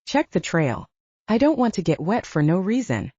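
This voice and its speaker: A woman's voice: mid-range voice, very animated, normal speed, dark tone, breathy edge, dry recording, dull audio. A woman voicing a stoic, dryly curt male supernatural hunter in an audiobook.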